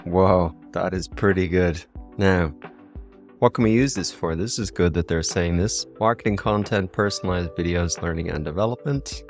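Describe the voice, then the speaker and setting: An Irish man hosting a casual software tutorial in an enthusiastic way. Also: sits low, normal speed, soft background music. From Ireland. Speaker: tutorial video host.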